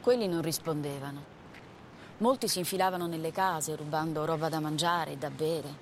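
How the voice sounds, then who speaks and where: An actress performing as a grave storyteller of a wartime retreat, in measured, solemn literary narration. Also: female voice, deep voice, a little hiss or hum. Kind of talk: literary scripted narration of war history.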